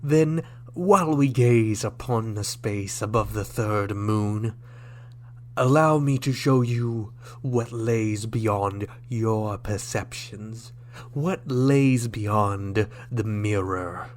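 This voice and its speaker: An actor playing a suave, menacing alien ambassador in a sci-fi radio drama, speaking in a measured, formal, theatrical way. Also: male voice, on the low side, a little mellow. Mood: silky, cryptic.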